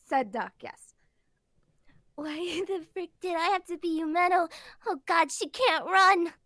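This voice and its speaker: Woman as high-pitched exasperated teen grumbler. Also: big pitch swings, slow pace, pauses often, fairly bright tone, no room sound, clean studio sound, full character voice. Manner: blunt, grumbling. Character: exasperated, slangy teenager stuck in wrong body. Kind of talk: anime comic dub.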